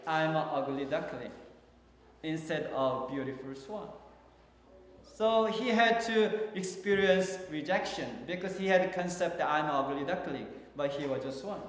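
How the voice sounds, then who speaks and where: A man with a Korean accent preaching a sermon as pastor, speaking haltingly with many fillers and restarts. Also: high-pitched. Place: large church hall. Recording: echoey hall from far off.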